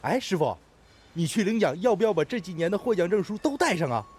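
An actor playing an eager, admiring young apprentice steel worker in a radio drama, earnest and excitable. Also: male voice, high voice, fast, breathy edge, heavily acted. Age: young adult.

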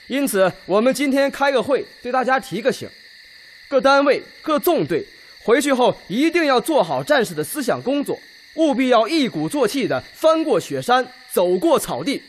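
A man's voice: very high-pitched, very animated, moderate pace, a little hiss or hum. An actor playing a stern, earnest Red Army leader exhorting his comrades in an oratorical radio drama style. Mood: earnest, admonishing. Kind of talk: Chinese radio drama acting.

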